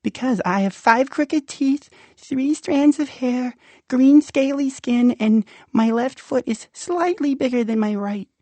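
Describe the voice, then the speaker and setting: A man voicing a shy, astonished green monster who speaks in a timid, halting, disbelieving way, in a children's storybook. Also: extremely high pitch, darkish tone, dry recording, pitched above the performer's own voice. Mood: sheepish, self-conscious. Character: shy, astonished green-scaled misfit monster with crooked teeth.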